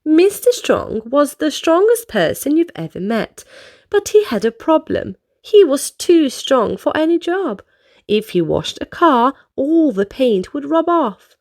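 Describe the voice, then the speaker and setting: A high-voiced woman reads a storybook aloud to young children. She takes her time, with big melodic swings and direct questions and exclamations for the listeners. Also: sing-song, measured pace, darkish tone. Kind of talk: expressive read-aloud narration for children.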